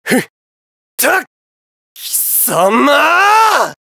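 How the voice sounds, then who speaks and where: A man's voice: very high voice, huge pitch swings, drawn-out words, gravelly, sharp tone, no echo, clean studio sound, full character voice. A man playing a menacing, arrogant armored villain in a video game, with a taunting, commanding manner. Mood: battle grunts. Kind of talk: video game battle voice acting.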